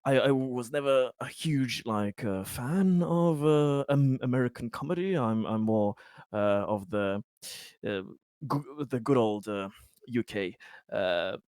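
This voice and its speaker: He is a courteous, affable colony director from a tabletop game as a man acts him out. His voice is mid-pitched and he chats politely, feeling his way haltingly through sentences. The sound is dry and close. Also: expressive, a little mellow, clear, resonant tone, no room sound. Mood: courteous, chatty.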